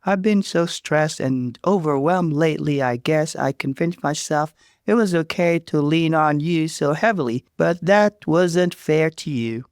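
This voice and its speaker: Man voicing an earnest, higher-pitched overwhelmed sister. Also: a little slow, smooth, no room sound, studio quality. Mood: earnest, apologetic. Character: overwhelmed mother, stiff and contrite older sister.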